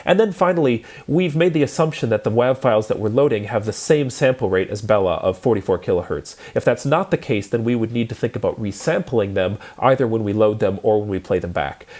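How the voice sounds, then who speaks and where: A man's voice: lowish voice, animated, brisk, few pauses, darkish tone. A man lecturing in a methodical, explanatory way, as if walking students through a programming lesson step by step.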